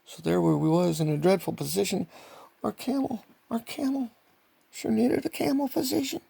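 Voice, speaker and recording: An older man reading a children's story like a grandfather, animated and rambling, repeating phrases and ad-libbing, with a gravelly, husky voice. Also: high-pitched, normal speed, no room sound.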